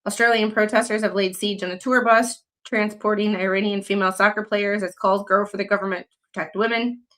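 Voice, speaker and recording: A woman's voice: mid-range voice, pushed and bright. A young adult woman from the United States, hosting a casual livestream, talking in a rambling way with many fillers and restarts, and reading news excerpts aloud. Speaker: livestream host.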